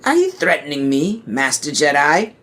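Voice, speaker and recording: A man's voice: fairly high pitch, big pitch swings, normal speed, fairly bright tone, slight background noise, voice pushed up. A man voicing a sly, menacing, theatrical Sith chancellor with a taunting drawl in a parody audio play. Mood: smug menace.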